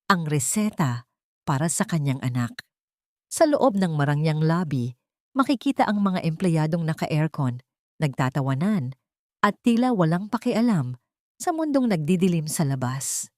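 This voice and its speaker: A woman with a Filipino accent narrates a scripted story in a measured, solemn and dramatic way. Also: deep voice, sing-song, darkish tone, no room sound, studio quality. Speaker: audiobook narrator.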